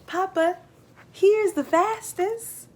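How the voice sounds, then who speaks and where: Woman putting on an excitable high-pitched farm boy. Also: very slow, full character voice. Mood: eager. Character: eager young son, a farm boy full of questions.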